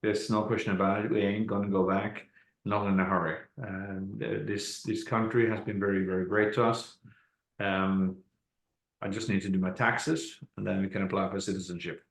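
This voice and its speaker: Middle-aged man, from Norway, native Norwegian speaker, roomy echo, slightly low voice, informal call host. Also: little pitch movement, normal speed. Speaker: host of a business training call. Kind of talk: informal online team presentation. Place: indoor room on a group online call. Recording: roomy, echoey online call audio.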